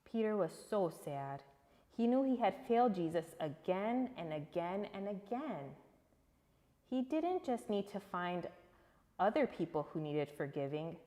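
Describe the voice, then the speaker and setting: A woman reading a story aloud to children, expressive and emphatic, putting questions to her listeners. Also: fairly low pitch, big-room sound.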